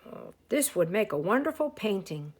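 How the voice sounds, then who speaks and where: A woman's voice: medium pitch, big pitch swings, normal speed, a little mellow, slightly rough. A woman voicing a kindly young man, an artist who muses aloud with enthusiasm, in a storybook. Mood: inspired. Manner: musing aloud, exclamatory.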